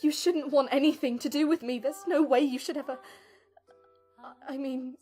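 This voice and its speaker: A woman's voice: sits high, steady tone, moderate pace, dark tone, faint music behind, natural voice. A woman playing a modest, vulnerable young assistant who speaks hesitantly and adoringly in an audio drama. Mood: emotional, faltering. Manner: hesitant, self-effacing.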